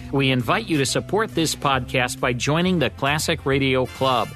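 A man's voice: medium pitch, a bit bright. A man with an American accent reading a scripted outro as host of a podcast. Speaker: radio show host and producer. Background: music.

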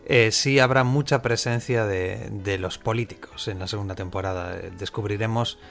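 Low-voiced Spanish man answering questions. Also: fairly even intonation, normal speed, creaky, fairly bright tone, faint music behind. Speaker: scriptwriter answering listener questions. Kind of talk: casual Q&A answers.

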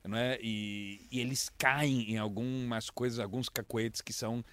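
A Brazilian man, an international correspondent, who speaks with a mixed accent and tells stories with lively swings in pitch. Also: normal speed, dry recording. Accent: mixed Brazilian Portuguese. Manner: animated storyteller, lively pitch jumps. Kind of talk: casual interview chat.

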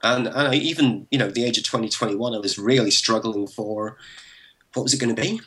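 A man in his forties from Belfast with a Northern Irish accent, thinking back as a podcast guest, with frequent restarts and soft laughs. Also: mid-range voice, fairly quick. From Northern Ireland. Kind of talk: relaxed interview over a call.

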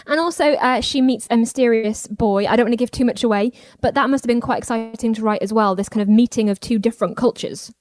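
A woman's voice: fairly high pitch, fast, hardly any pauses, darkish tone, no echo. A woman interviewing an author in a chatty, upbeat way. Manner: chatty, upbeat, laughs along. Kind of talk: interview questions.

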